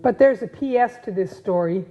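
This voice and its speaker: A woman narrating a puppet show as storyteller, with dramatic, deliberate pauses. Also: medium pitch. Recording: muffled sound, guitar music behind.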